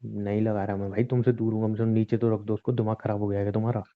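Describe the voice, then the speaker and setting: A man's voice: on the low side, little pitch movement, fast, natural voice. Casting: man as cold, unapologetic husband for a home-made audio drama, dismissive and testy, thin telephone-quality audio. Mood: defensive, irritated. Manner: dismissive, brushing off accusations. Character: callous, shameless husband, dismissive and irritated. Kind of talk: hobby audio drama, staged husband-wife quarrel. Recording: thin tinny phone line.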